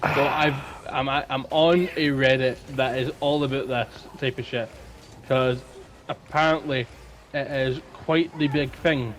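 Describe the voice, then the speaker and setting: A man's voice: mid-range voice, fairly even intonation, measured pace, slight background noise, rough recording. A man co-hosting a podcast, talking in a casual, chatty style. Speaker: podcast co-host. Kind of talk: casual chat.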